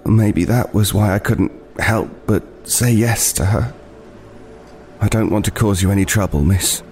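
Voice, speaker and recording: A man's voice: on the low side, fairly even intonation, darkish tone, slight background noise, lightly acted. An actor playing a weary, wary homeless man, hesitantly telling an unsettling tale in an audio play. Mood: haunted, reflective, then polite, hesitant. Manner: hesitant, halting, haunted, with repeated words. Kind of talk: dramatic first-person storytelling in an audio play.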